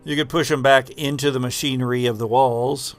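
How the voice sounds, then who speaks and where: A man hosting a tabletop horror game as game master, improvising dramatic narration with halting pauses mid-sentence. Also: medium pitch, fairly even intonation, faint music behind.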